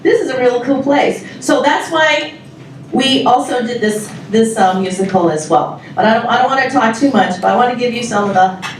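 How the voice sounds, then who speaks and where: A woman from Taiwan, giving a chatty, giggly welcome speech to an audience, pausing often with fillers. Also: on the high side, expressive, slight background noise. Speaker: music club organizer presenting a show. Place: large indoor hall with live audience. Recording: very echoey hall, mic far off.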